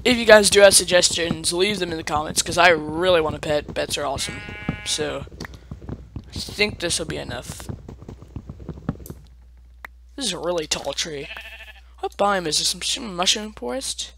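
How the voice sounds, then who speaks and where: A man's voice: fairly high pitch, moderate pace, vocal fry, pauses often, noisy background. A young man casually commenting on his own gameplay, chatty and self-mocking, with playful asides. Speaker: let's play gamer.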